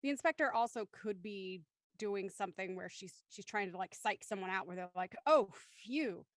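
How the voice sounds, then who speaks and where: A woman's voice: mid-range voice, moderate pace, no echo, studio quality. A woman in a casual group discussion, offering speculative theories and firm opinions. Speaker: cast member discussing an audio drama.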